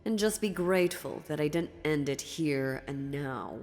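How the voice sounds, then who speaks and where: A woman playing an ancient, sly and dominant female demon in a dark fantasy audio roleplay, taunting theatrically with deliberate pauses. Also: low voice, expressive. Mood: calm, menacing. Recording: long reverb, music underneath.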